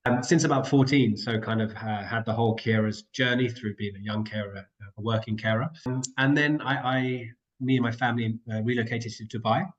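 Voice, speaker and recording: A man's voice: medium pitch, fairly even intonation, fairly quick, highs cut off. Nasal man with a cold on a call. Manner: rambling, self-interrupting, apologetic. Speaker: guest carer and co-founder. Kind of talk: casual online panel chat. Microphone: video call.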